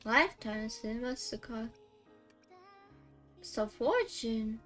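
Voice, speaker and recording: A woman with a fairly high voice streams a game in a casual way. She takes her time, musing aloud in short, unhurried reactions, with faint music behind her. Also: lively intonation, a bit bright. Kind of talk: casual livestream game commentary.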